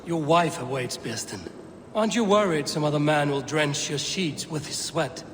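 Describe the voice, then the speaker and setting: An actor playing a blunt, confident Viking warrior in a video game, speaking tersely and dryly. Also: male voice, on the high side, moderate pace, in a large hall, a little hiss or hum, lightly acted. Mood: teasing. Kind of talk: video game cutscene acting.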